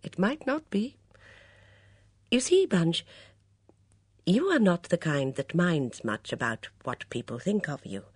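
A woman voicing a shrewd, gentle elderly English spinster in an audiobook, with a mild, absent-minded murmur. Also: lowish voice, very animated, slow, long pauses, slightly rough, no room sound, studio quality, voice pushed up, heavily acted. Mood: gently explaining.

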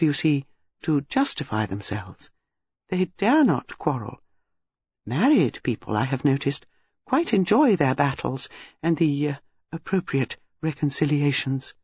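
A reader voicing a prim, shrewd, gentle elderly spinster with a measured, grave manner. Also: medium pitch, slightly rough, no room sound, highs cut off, heavily acted. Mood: gently opinionated. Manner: measured, grave, quietly vexed.

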